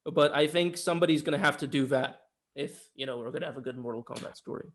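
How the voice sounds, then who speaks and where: Male co-host, slightly high voice, casual opinionated discussion. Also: fast. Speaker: podcast co-host. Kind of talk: casual film discussion chat.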